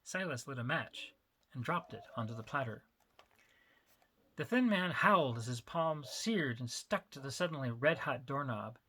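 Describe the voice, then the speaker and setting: Bright-voiced man reading fiction dramatically. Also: mid-range voice, expressive, moderate pace, husky. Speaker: author reading own work. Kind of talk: dramatic audiobook-style narration.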